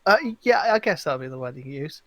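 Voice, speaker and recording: A man's voice: mid-range voice, lively intonation, fast, a bit bright, no echo. Hesitant man on a dry, close recording. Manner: hesitant, searching for words. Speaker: podcast co-host. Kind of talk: casual chat.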